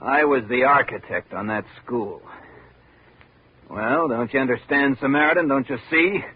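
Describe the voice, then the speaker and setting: An actor playing a beaten, sardonic, world-weary architect with an eloquent, theatrical manner in a 1950s radio drama. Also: male voice, mid-range voice, moderate pace, slight background noise, natural voice. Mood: bitter, wounded. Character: bitter, beaten, sardonic male small-town architect. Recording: narrow muffled vintage radio recording.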